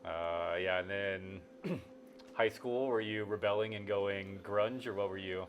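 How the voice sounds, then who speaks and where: A man hosts a casual interview in a slightly low voice, taking his time with each phrase. He is laid-back and halting as he puts his questions. Some background noise sits under the recording. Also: steady tone, dull audio. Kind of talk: casual chat.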